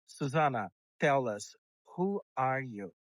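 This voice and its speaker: A man at a middle pitch interviewing a guest in a relaxed way. He prompts her with questions and keeps affirming her with short replies. The sound is dry and close. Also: slow, no echo. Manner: encouraging, frequent short affirmations. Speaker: podcast host, interviewer. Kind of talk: casual interview. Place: outdoors while walking.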